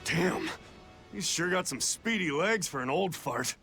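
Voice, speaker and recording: An actor playing a brash, hot-blooded roguish fighter in a video game, cocky and slangy, with music underneath. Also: male voice, on the high side, expressive, measured pace. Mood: angry, urgent. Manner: cocky, rough slangy talk. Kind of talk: English video game dub acting.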